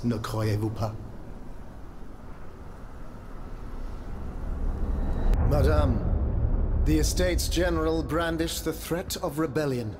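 A man's voice: mid-range voice, background noise, natural voice. A man playing a proud French king in a video game, speaking in a formal, declamatory, courtly manner. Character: regal, proud French king addressing his queen. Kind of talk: dramatic video game cutscene acting.

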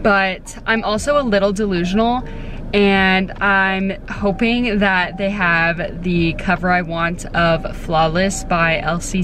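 A woman's voice: mid-range voice, slight background noise. A young woman from Texas, talking chattily to her phone camera from a parked car, with lots of "like"s. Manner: chatty, bubbly, many "like"s. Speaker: book vlogger. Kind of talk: casual vlog chat to camera. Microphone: phone camera at arm's length. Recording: soft background music under voice.

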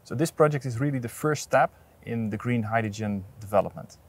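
A man in his thirties speaking in an outdoor interview, earnest and measured, with frequent restarts, on a lapel mic. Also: mid-range voice, breathy edge. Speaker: port authority representative. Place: outdoors at an industrial port site.

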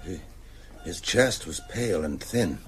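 An actor playing an ailing older teacher in a radio drama, very husky, precise, bookish and resolute. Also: male voice, low voice, expressive, measured pace. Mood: shaken. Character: ailing older male teacher, scholarly, shaken but resolute.

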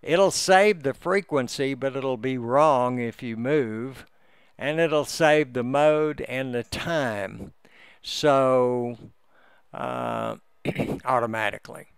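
Slow, gravelly man explaining. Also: medium pitch, no room sound. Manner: halting, explanatory, step by step. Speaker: amateur radio hobbyist giving a tutorial. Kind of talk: unscripted screen-share walkthrough. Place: indoors, close dry voice-over for a screen recording.

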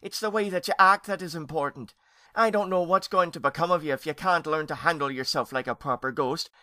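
A man's voice: high voice, moderate pace, pushed and bright, no room sound, studio quality, voice pushed up. A man voicing a fretful, bossy lady ghost aunt from Ireland in a children's storybook, with dramatic wailing and scolding. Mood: exasperated.

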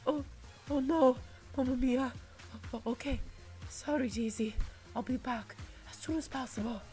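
Woman putting on an excitable cartoon Italian plumber. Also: sits high, unhurried, soft background music, pitched above the performer's own voice. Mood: flustered. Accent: put-on Italian, wavering. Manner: gushing, exclamatory. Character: excitable, money-loving cartoon Italian plumber, adult man. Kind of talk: improvised video game parody.

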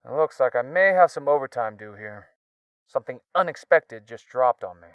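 A man's voice: mid-range voice, animated, normal speed, clear, resonant tone, dry recording, muffled recording, close to an everyday voice. A man voicing an apologetic, busy young friend making a call in a visual novel game. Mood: apologetic, hesitant.

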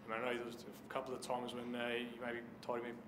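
A man's voice: mid-range voice, completely flat delivery, fast, noisy background. A man, a reporter putting long, detailed questions to a coach at a press conference.

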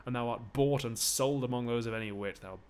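A man's voice: mid-range voice, fairly quick, clear, resonant tone, natural voice. A man playing a bitter, railing male slave in a stage play, sneering and ranting out insults. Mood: contemptuous.

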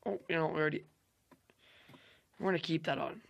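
A young man hosting a gaming channel, hoarse from losing his voice, rambling through casual gameplay commentary with fillers and swearing. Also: a little high, a little fast, a bit bright, dull audio, a little hiss or hum.

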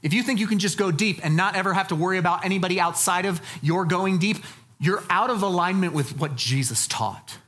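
A man's voice: sits high, a little fast, a bit bright. An American man in his forties preaching a conversational sermon, emphatic and folksy, repeating phrases for weight. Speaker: pastor. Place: church stage, indoors.

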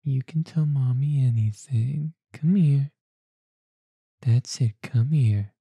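A woman speaks very slowly in a soft, cooing, soothing voice, playing a mother in an ASMR roleplay. Her tone is deep, dark and mellow, and she sits close to a microphone in a completely dry space. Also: slightly rough, dry recording. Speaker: roleplay performer.

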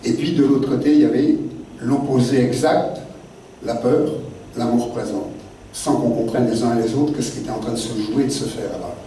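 A man's voice: mid-range voice, warm tone, slight background noise. Older man, echoey room. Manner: digressive, halting, many fillers and restarts. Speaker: guest author. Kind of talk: rambling spoken memoir talk. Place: literary café meeting room. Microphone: desk mic on stand, seated. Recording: very echoey room, mic at a distance.

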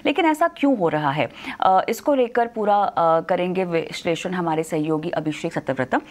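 A woman in her thirties anchoring live business news from a TV studio, brisk but with frequent fillers. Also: lowish voice, expressive, a little mellow.

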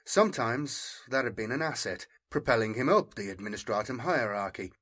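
A man narrating dramatic fiction as an audiobook reader, in a measured, serious manner. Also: medium pitch, expressive, fairly bright tone.

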